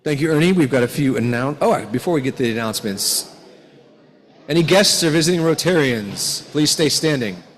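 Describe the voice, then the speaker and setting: A man's voice: a little high, animated, brisk. A man hosting a club meeting, making announcements into a microphone. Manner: upbeat, formal emcee. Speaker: meeting host, sergeant at arms. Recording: long reverb in a hall.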